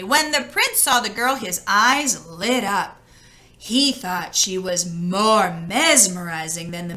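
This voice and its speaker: A woman reading a children's story aloud expressively, with drawn-out, dramatic emphasis. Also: fairly high pitch, pushed and bright. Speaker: storybook reader. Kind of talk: expressive children's read-aloud, with casual asides.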